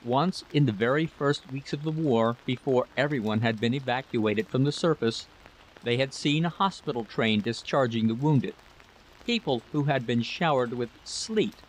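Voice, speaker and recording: A man's voice: mid-range voice, moderate pace, a little hiss or hum, poor audio. A man narrating a scripted story as a literary reader.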